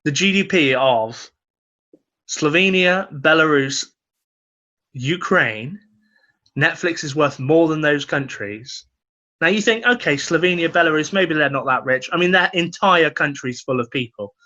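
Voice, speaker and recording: A man's voice: on the high side, lively intonation, measured pace, pushed and bright, lo-fi sound. A man co-hosting a comedy podcast, chatting casually and emphatically about his opinions, repeating words for effect.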